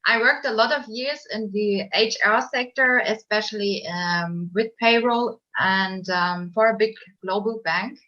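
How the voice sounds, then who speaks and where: A woman's voice: mid-range voice, pushed and bright, highs cut off. A woman from Germany giving enthusiastic remarks at a live online event, with some hesitant fillers. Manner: enthusiastic, slightly halting. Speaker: event participant giving feedback.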